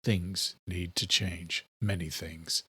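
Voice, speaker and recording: A man's voice: sits low, steady tone, slow pace, sharp tone, slightly rough, dry recording, studio quality, pitched below the performer's own voice, exaggerated voice. A man playing a stern, solemn vampire monarch in an audiobook, with a curt, commanding manner.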